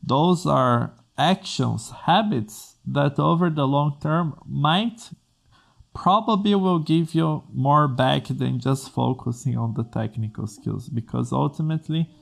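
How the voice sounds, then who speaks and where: A man's voice: medium pitch, creaky. A man from Brazil, a non-native English speaker, giving earnest career advice to programmers in a vlog. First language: Portuguese. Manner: earnest, explains in plain steps with restarts. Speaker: coding school host.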